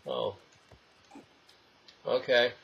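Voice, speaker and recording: A man's voice: medium pitch, little pitch movement, very slow, creaky, fairly bright tone, husky, muffled recording. A young man giving casual, wry gameplay commentary, swearing and talking to the game's characters. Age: young adult. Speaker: gamer, let's play host. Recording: noisy, game sound behind voice.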